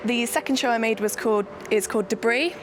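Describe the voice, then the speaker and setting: A chatty woman from Nottingham talks about her theatre work, restarting and correcting herself as she goes. Her voice sits a little high, and clear music plays underneath her. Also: fast talker. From Nottingham, England. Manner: chatty, self-deprecating, many restarts. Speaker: solo theatre maker. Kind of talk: documentary interview.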